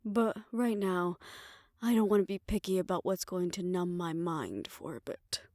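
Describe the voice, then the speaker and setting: A woman playing a weary, proud aging fixer talking softly and wryly to her lover in an intimate roleplay audio drama. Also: lowish voice, moderate pace, no room sound, studio quality, close to an everyday voice. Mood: wry, confiding.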